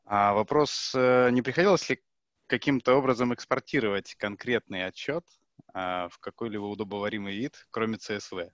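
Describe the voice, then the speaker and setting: A man's voice: lowish voice, brisk, a bit bright, no echo, dull audio. A man asking a speaker a question during an online meetup, polite and conversational. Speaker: audience member asking a question. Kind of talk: casual question on a video call.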